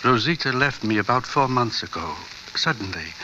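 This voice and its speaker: A man acting a courteous, grieving older gentleman in an old radio drama. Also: medium pitch, expressive, moderate pace, natural voice. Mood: sad, courteous. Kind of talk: 1940s-50s American radio drama acting. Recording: muffled, hissy old radio recording.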